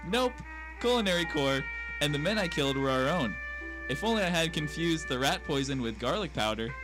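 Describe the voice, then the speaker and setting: A man's voice: on the high side, sing-song, brisk, low-quality recording. A man playing brash, folksy American tough guys in a noir radio drama, talking theatrically in old-timey slang. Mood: cocky, chatty. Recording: music bed behind voice.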